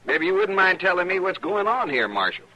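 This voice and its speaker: An actor playing a snide, needling American office clerk in a western radio drama. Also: male voice, high voice, sing-song, fairly quick, pushed and bright, lo-fi sound, a little hiss or hum, heavily acted. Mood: guarded, grudging. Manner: needling, insolent. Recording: old radio recording.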